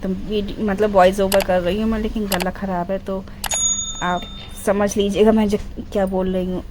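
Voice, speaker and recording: Hoarse middle-aged lady doing a sewing voice-over, keeps clearing her throat, goes over steps again, faint hiss behind. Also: medium pitch, level tone, moderate pace. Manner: step-by-step, repeats instructions. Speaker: sewing channel host.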